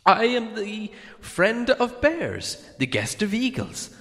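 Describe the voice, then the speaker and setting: A man voicing a small, nervous hobbit burglar in a storybook, thin-voiced, stammering a little and speaking in theatrical flattery and riddles. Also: sits high, slow, in a large hall, pitched above the performer's own voice, full character voice. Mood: boastful. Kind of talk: dramatic storybook character reading.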